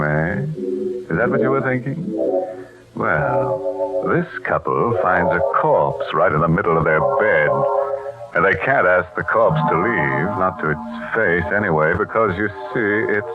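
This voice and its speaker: A man's voice: deep voice, lively intonation, a little slow. A man hosting a 1940s radio mystery show in a mock-genial, sardonic, macabre manner, on a tinny old recording.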